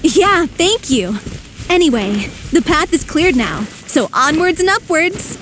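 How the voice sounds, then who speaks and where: A woman's voice: high-pitched, big pitch swings, unhurried, a bit bright, slight background noise, heavily acted. A woman voicing a cheery, eager young traveling companion in a video game, with a chirpy, upbeat delivery.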